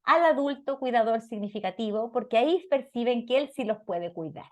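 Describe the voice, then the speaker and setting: A woman's voice: a little high, lively intonation, brisk, dull audio. A woman with a Chilean Spanish accent giving an explanatory talk as a parenting lecturer, didactic and often checking in with short questions.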